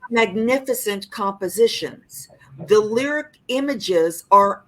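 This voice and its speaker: A woman's voice: mid-range voice, expressive, slow, a bit bright, low-quality recording. An older woman, a singer-songwriter, talking reflectively and expressively about her craft in a podcast interview, often restarting her sentences.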